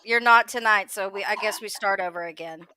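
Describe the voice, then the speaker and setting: A woman's voice: mid-range voice, fairly quick, pushed and bright, clean tone. A woman on a talk panel chatting casually and bluntly, sounding exasperated and swearing freely. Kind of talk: casual gossip chat.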